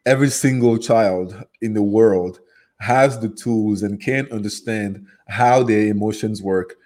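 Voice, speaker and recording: A man's voice: on the low side, measured pace, a little mellow. A man in his thirties from Cameroon, a native French speaker with a Cameroonian French accent, talking as an upbeat, earnest interview guest. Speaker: guest, program facilitator. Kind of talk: casual interview talk.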